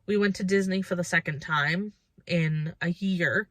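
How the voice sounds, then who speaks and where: A woman's voice: fairly low pitch, a little slow, no echo. A woman of about thirty chatting casually and reflectively to her viewers as a lifestyle vlogger, informal and thinking aloud.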